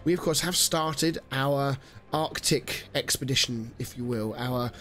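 A man's voice: medium pitch, measured pace, fairly bright tone, soft background music. A man in his thirties with a nasal, stuffy voice from a cold, rambling chattily through a let's-play with plenty of fillers. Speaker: gaming channel host. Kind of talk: casual let's-play commentary.

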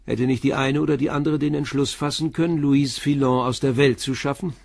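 An actor in a German crime radio play playing a calm, persistent middle-aged police commissioner who questions in a measured, blunt way. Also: male voice, mid-range voice, vocal fry, no room sound, studio quality. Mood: insistent, confronting.

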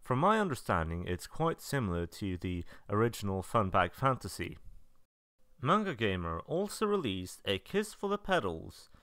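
A man with a low, clean and resonant voice narrates semi-scripted review commentary. His intonation rises and falls a lot, yet his manner stays drily understated. The voice-over is close, with almost no room sound. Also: very animated, moderate pace, fairly bright tone, no echo. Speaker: video narrator reviewing visual novel releases.

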